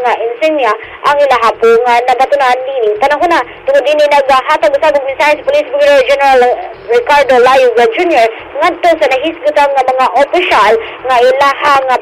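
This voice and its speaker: A woman with a Filipino accent giving a radio field report, halting with frequent hesitations and repeats. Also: high voice. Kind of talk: news report, partly improvised. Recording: noisy remote radio report feed.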